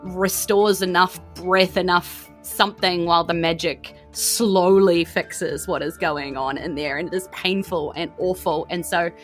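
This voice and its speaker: A woman with a New Zealand accent narrating as game master, in an informal, descriptive and animated way. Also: lowish voice, a little slow, few pauses, faint music behind. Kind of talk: improvised tabletop game narration.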